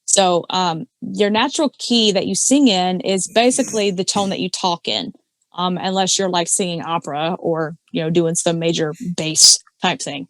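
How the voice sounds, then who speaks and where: A woman's voice: steady tone, normal speed, no room sound. A woman, chatting casually as a podcast guest and explaining singing with lots of fillers and restarts. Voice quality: low alto voice. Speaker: podcast guest, karaoke singer and judge. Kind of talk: casual chat.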